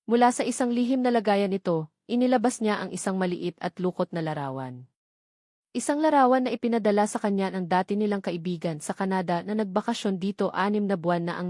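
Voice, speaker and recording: A woman's voice: mid-range voice, brisk, a little mellow, no room sound. Female audiobook narrator, Filipino accent, mid-range voice, dramatic romance storytelling, close dry sound.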